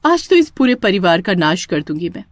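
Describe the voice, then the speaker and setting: A scheming witch of a young wife, acted out by a woman storyteller, possessive and gloating. Her menacing lines swoop with lively pitch in a close, dry horror storybook recording. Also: moderate pace, dry recording. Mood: vengeful.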